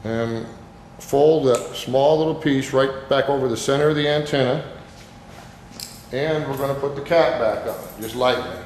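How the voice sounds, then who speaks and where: In a very echoey, reverberant space with some background noise, a man walks through a hands-on how-to. He is an instructor with a plain-spoken, informal manner, going step by step at a mid-range pitch. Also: unhurried, a little mellow.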